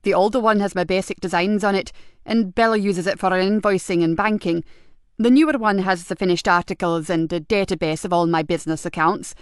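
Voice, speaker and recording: A woman's voice: lowish voice, fairly quick, smooth, dry recording, clean studio sound, natural voice. A woman voicing a mild, absent-minded middle-aged man who talks plainly and openly. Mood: easygoing.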